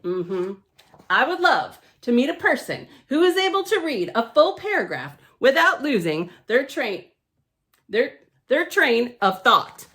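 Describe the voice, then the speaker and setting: A woman reading a picture book aloud to children, theatrically emphatic and playfully grumpy, with chatty deadpan asides. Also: high voice. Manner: theatrical, emphatic, with pauses and repeated words for effect. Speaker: library storytime reader.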